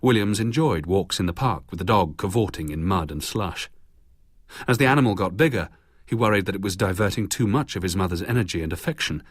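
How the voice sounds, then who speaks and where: A man's voice: deep voice, animated, a little fast, breathy edge, dry recording, clean studio sound. A British man narrating a scripted biography for radio.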